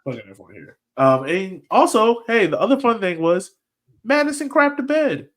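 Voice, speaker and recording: A man's voice: high-pitched, sing-song, normal speed. A man hosting a casual sports podcast chat, easygoing and slangy, often repeating phrases for emphasis. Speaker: podcast host.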